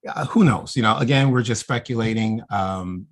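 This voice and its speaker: Podcast host: American male, low-pitched voice, informal discussion style. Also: normal speed.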